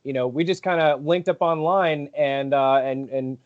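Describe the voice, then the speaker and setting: A man's voice: a little high, steady tone, moderate pace, lo-fi sound. A man from Rhode Island, a professional wrestler, answering interview questions in a friendly, polite way with easy laughs. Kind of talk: casual interview.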